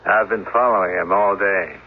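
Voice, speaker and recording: Weary policeman, low husky voice, solemn, measured, explanatory, old radio drama. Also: steady tone, full character voice. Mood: grave, reflective. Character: calm, world-weary male police officer recounting a tragedy. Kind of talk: 1970s radio drama acting. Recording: narrow-band 1970s radio broadcast.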